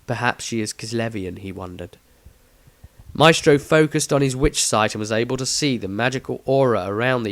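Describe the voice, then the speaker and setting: A man's voice: fairly low pitch, no room sound. Close, dry-sounding male storyteller. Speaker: author and narrator. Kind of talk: scripted storybook narration.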